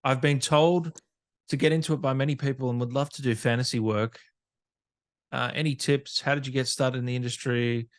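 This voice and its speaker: Man hosting a casual interview, medium-pitched. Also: fairly even intonation, fairly quick. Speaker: podcast host, interviewer. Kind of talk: casual interview, reading out fan questions.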